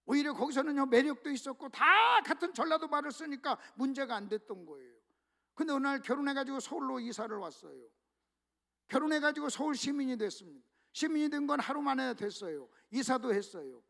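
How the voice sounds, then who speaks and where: Male pastor preaching in church, very high gravelly voice, drops to quiet asides then punches loud lines, gets the crowd to answer back. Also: expressive, brisk. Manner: leads congregation in call-and-response reading; swings between hushed asides and loud emphatic exclamations. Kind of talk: sermon at a revival meeting. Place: church sanctuary.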